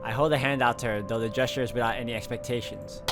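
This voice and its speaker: A man's voice: mid-range voice, steady tone, fast talker, music in the background. A man reading a visual novel aloud as a let's play host, in a narrating style with casual asides.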